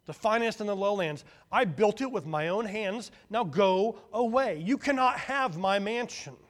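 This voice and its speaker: A man voicing a stubborn young man who shouts defensively from his hut in a spoken story. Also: medium pitch, moderate pace, husky, close to an everyday voice. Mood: angry shouting.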